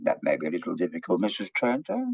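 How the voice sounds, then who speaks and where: A man's voice: low voice, wildly swooping pitch, fast talker, creaky, husky, dry recording, heavily acted. A man playing an eccentric, earnest foreign psychiatrist in a comic play, pompous and theatrical with stilted grammar. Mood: brisk, patronising. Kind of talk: comic stage play reading. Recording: dull lo-fi microphone sound.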